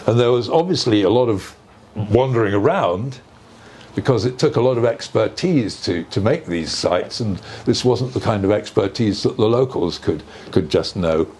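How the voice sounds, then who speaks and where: Older man, low-pitched, giving an informal talk. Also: lively intonation, normal speed, darkish tone. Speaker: speaker on earth energies and ancient sites. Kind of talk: informal public talk, explanatory. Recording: live talk with clear background noise.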